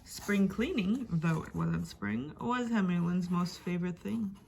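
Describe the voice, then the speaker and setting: A woman storyteller giving a dramatic reading of a rhyming picture book, expressive, with hushed and emphatic passages. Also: fairly low pitch, unhurried, gravelly. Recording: faint background noise.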